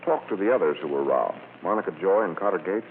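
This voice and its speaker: An actor playing a suave, unruffled amateur sleuth with a wry, brisk manner in an old-time radio drama. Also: male voice, low voice, expressive, slight background noise. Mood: courteously probing. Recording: muffled, tinny old radio recording.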